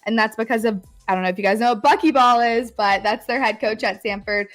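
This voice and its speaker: A woman guest on a sports podcast, talking casually with a hoarse, scratchy voice and an eager manner that breaks into laughs. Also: a little high, fast, a bit bright, faint music behind. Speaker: guest analyst.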